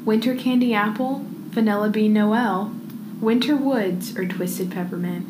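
A woman's voice: mid-range voice, a little slow. A young woman co-hosting a podcast in casual chat, with playful, dry quips. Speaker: podcast co-host. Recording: roomy echo with background noise.

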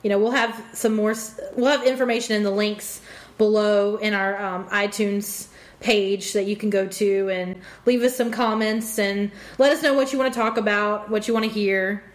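A woman in her thirties from the Carolinas with a Southern accent, co-hosting a casual chat podcast with a loud, giggly, chatty manner. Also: medium pitch, steady tone, moderate pace. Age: 30s. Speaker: podcast co-host.

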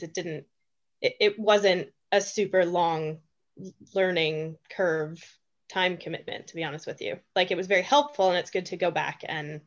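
Woman coach from pennsylvania, frank, hunts for words, dull lo-fi sound. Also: fairly low pitch. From Pennsylvania, USA. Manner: frank, hesitant, searching for words. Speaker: gym coach. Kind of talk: casual interview answers. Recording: muffled lo-fi audio.

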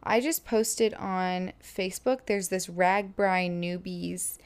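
A woman in her twenties from Florida, telling a casual story in a chatty, lively way with many fillers and laughs. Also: fairly low pitch, takes it slowly, smooth. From Florida, USA. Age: 20s. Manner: chatty, animated, lots of "like" and laughs. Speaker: vlogger.

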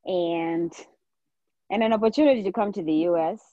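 A woman's voice: mid-range voice, normal speed, mellow, no room sound. A woman in her forties with a Zimbabwean accent, chatting casually as a guest in an interview, on a slightly muffled recording. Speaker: podcast guest, nurse practitioner.

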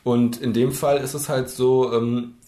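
A man's voice: medium pitch, flat delivery, measured pace, warm tone. A man co-hosting a casual podcast chat who rambles, thinking aloud with many fillers. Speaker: podcast co-host. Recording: roomy echo.

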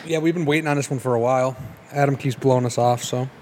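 A man's voice: medium pitch, steady tone, fast, a little mellow, a little hiss or hum. A man co-hosting a podcast, laughing a lot and swearing casually. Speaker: podcast co-host. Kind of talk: casual chat. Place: large studio.

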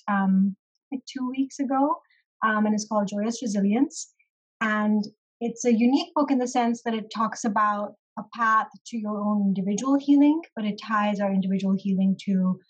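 Middle-aged woman from Pakistan, therapist guest, husky voice, muffled call audio, thoughtful with lots of ums. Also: medium pitch. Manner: thoughtful, many ums and soft pauses. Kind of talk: casual interview conversation.

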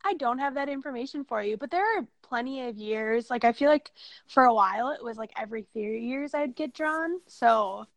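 Creaky-voiced Minnesotan woman co-host. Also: fairly high pitch, moderate pace, no echo. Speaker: podcast co-host. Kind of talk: casual chat.

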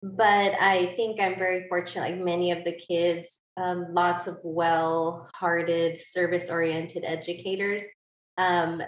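A woman's voice: lowish voice, level tone, takes it slowly. Bilingual woman in an echoey room. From California, by the Mexican border. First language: bilingual English and Spanish. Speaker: podcast guest, educator. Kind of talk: reflective conversational interview answer. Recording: echoey room with background noise.

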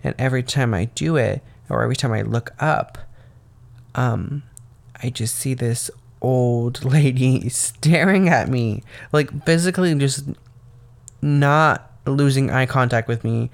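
Gravelly-voiced young man rambling casually. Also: medium pitch, unhurried. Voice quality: slightly raspy, gravelly. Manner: rambling, meandering talk full of "um" and "like". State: tired from waking very early. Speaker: podcast host. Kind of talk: casual solo podcast monologue.

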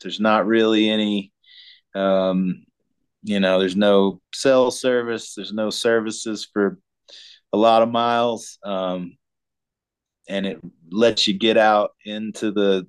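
A singer-songwriter from Colorado, a man, answering interview questions in a laid-back, halting way with many ums. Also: lowish voice, level tone, clean tone. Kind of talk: casual interview chat.

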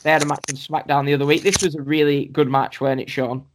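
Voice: medium pitch, flat delivery, fast talker, noisy background. A podcast host, chatting casually and running through a list of matches with asides to the co-hosts. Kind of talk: casual wrestling podcast chat.

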